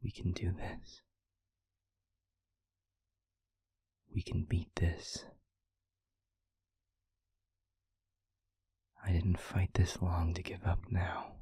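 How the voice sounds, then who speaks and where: A man playing a gentle, weary demon in an intimate audio roleplay, with a soft, halting, breathy delivery that often nears a whisper. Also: low-pitched, completely flat delivery, no echo, studio quality, full character voice. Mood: tender, earnest. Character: gentle, weary male demon, tender and guarded.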